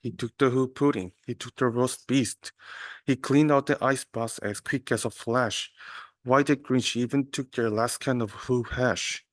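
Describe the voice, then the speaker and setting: A young man reads a storybook aloud in a medium-pitched voice. The recording is close and dry, and the delivery follows the verse. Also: steady tone, measured pace, dry recording. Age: young adult. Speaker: student reader.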